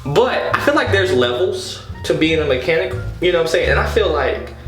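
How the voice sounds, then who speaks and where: A man telling a story casually and comedically, in an exasperated, animated, slangy way with plenty of swearing. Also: a little high, brisk. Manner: animated, exasperated, profane, slangy with repeated "you know what I'm saying". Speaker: storytelling vlogger. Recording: echoey room with music behind.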